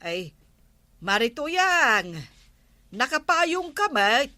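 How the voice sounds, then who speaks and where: Fussy, anxious village woman, Ilocano radio comedy; unhurried, dry close sound, hesitant exclamations. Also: sits high, big pitch swings, sharp tone, dry recording, heavily acted. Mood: chatty. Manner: hesitant, full of exclaimed interjections.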